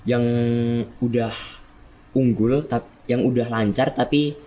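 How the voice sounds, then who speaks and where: A teenage boy with a Javanese accent, a student guest on a school podcast, explaining things at length in a relaxed way. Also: medium pitch, takes it slowly. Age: teens. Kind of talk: casual podcast chat.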